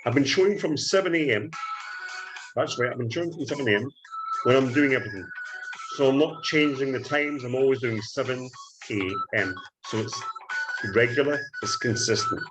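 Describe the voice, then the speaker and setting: A Scottish man giving a trading tutorial, talking up his strategy in an excited salesman patter and often calling out "ladies and gentlemen.". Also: mid-range voice, moderate pace, music in the background, rough recording. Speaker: forex trading instructor. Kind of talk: screen-share trading tutorial.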